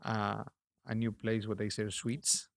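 A middle-aged man with a Peruvian accent, an artist talking in a relaxed interview, reflective and halting, with many restarts. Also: lowish voice, gravelly.

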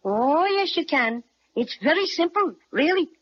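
Casting: little kindly talking bear, high voice, vintage children's radio drama, dull recording. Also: very animated, measured pace. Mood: helpful, boastful. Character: small gruff, kindly talking toy bear. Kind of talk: old-time children's radio serial acting.

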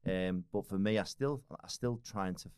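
A man's voice: low voice, moderate pace, darkish tone, smooth, no room sound, studio quality. A man in his forties with a Liverpool accent, chatting casually as a podcast guest, rambling with lots of restarts and fillers. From Liverpool, England. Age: 40s.

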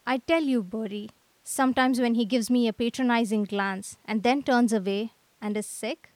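A woman's voice: fairly high pitch, fairly even intonation, normal speed, a little mellow, dry recording, close to an everyday voice. A woman playing a gloomy, aggrieved young male artist in a radio drama, with theatrical lamenting. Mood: bitter self-pity.

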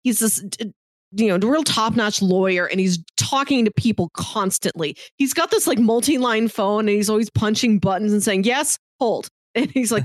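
A woman with a fairly high voice chats as a podcast co-host about film and theater, recorded close and dry. She retells things in an animated, conversational way, slipping into quoted lines. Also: dry recording. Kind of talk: casual film and theater discussion.

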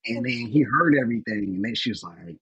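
A man in his twenties, a podcast guest, teasing in a deadpan way. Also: mid-range voice, brisk, slightly rough, no echo, lo-fi sound. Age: 20s. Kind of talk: casual podcast banter.

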